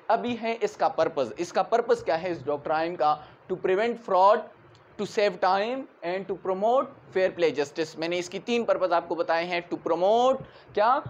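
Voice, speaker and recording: A man's voice: sits high, lo-fi sound. A man in his twenties with a Pakistani accent, giving an explanatory law lecture, didactically posing questions and answering them himself, switching into English terms. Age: 20s. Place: indoors, in front of a whiteboard in a classroom.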